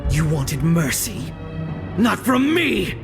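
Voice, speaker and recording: An actor voicing a cold, arrogant young male shadow assassin in a game, menacing and measured. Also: a little high, sing-song, over music, full character voice. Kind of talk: game voice lines.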